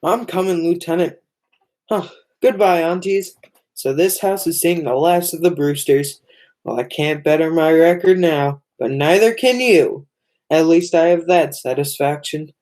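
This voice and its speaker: A man's voice: on the high side, unhurried, a little mellow, lightly acted. A man playing a menacing, gloating villainous nephew in a radio play. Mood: gloating, bitter. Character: sneering, vengeful villainous nephew, grown man.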